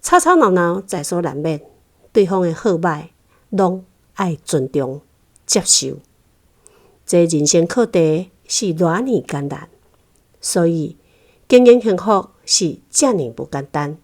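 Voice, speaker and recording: Slow, low-pitched Taiwanese woman. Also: animated. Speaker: guest reading her book reflections. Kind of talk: prepared spoken essay, recited slowly.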